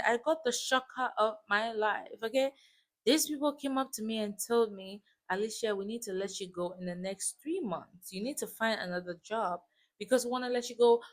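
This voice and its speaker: A woman's voice: mid-range voice, a bit bright. A woman in her twenties from West Africa, a native French speaker with a West African accent, chatting casually as a vlogger and telling a personal story with emphatic asides. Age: 20s. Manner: chatty, informal, emphatic asides and check-ins. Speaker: YouTube vlogger.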